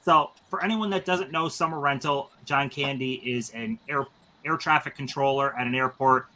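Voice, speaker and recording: Male host, 30s, bright tone, eager rambling delivery. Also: medium pitch, normal speed. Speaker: stream host. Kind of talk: casual panel chat.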